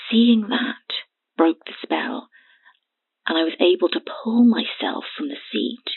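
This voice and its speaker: A woman in her twenties playing a haunted, terrified witness in an audio horror drama, speaking haltingly and hushed through a telephone filter. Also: fairly low pitch, exaggerated voice. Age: 20s. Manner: halting, hushed, breathy delivery. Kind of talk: audio horror drama acting, phone confession. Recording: thin phone-line sound.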